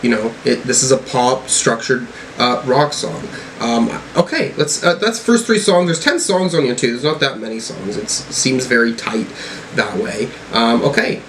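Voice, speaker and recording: A man talks casually through his reactions as a music review host. His delivery is halting and rambling, as if thinking aloud, at an ordinary medium pitch. There is a faint noise behind his voice. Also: sing-song, a bit bright, rough recording. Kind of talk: casual album reaction commentary.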